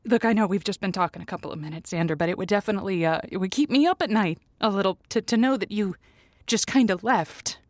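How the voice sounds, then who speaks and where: A woman's voice: medium pitch, quick pace, no room sound, studio quality, close to an everyday voice. An actress playing an earnest, emotionally invested radio advice host in an audio drama, stammering and emphatic as she searches for words. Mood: gently pleading. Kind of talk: naturalistic audio drama acting.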